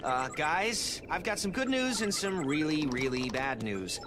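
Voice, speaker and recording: An actor playing a brainy teenage turtle, earnestly explaining tech in a game cutscene. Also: male voice, fairly high pitch, expressive, a little slow, very noisy.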